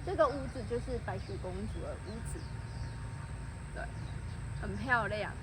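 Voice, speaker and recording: A woman's voice: medium pitch, expressive, normal speed. A woman with a Taiwanese Mandarin accent gives a casual on-location tour to camera as a vlog host. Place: outdoors in a leisure farm park. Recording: outdoor recording with clear ambient noise.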